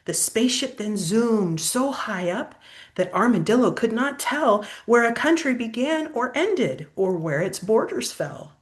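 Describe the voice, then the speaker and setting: A woman's voice: fairly high pitch, sing-song, a little slow. An older woman reading a children's picture book aloud expressively, like a grandmother pointing out pictures to a child.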